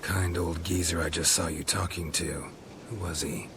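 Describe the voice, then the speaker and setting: A man playing a laconic, world-weary monster hunter in a video game, deadpan and clipped. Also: sits low, moderate pace, background noise. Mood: matter-of-fact. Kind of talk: video game character acting.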